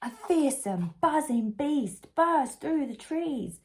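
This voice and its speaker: A woman reading a picture book aloud for young children in an animated, unhurried way, putting questions to the listener. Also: sits high. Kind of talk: expressive storybook read-aloud for young children.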